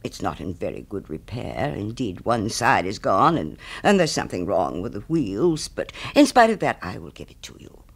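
Storybook miller, played by a male reader: smug, self-righteous, preachy, with big pitch swings and some gravel. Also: very animated, normal speed, few pauses, full character voice. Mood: self-satisfied. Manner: pompous, self-righteous, moralizing. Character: smug selfish miller, grown man, preachy false friend. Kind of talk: storybook character reading.

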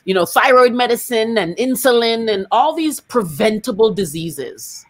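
A woman's voice: fairly high pitch, animated, normal speed. A middle-aged woman from Canada, chatting emphatically as she hosts a music workshop over a video call from a home room. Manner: chatty and emphatic, talks with her hands. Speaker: singer hosting a music workshop. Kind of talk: casual video-call chat. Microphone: studio microphone on a video call.

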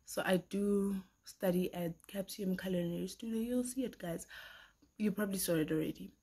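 Husky woman, chatty vlog. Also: medium pitch, moderate pace. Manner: chatty, rambling, with frequent fillers and soft asides. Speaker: vlogger, pastry chef student. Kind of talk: casual talk to camera. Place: small indoor room at home. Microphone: phone camera at arm's length.

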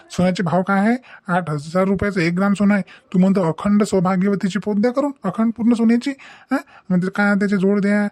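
A man's voice: high-pitched, a little mellow, no echo, studio quality, natural voice. A man playing an indignant, principled young son in a cartoon, lecturing emphatically in a Vidarbha Maharashtrian dialect. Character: indignant, principled young adult son defying his mother. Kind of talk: comic family drama cartoon dubbing.

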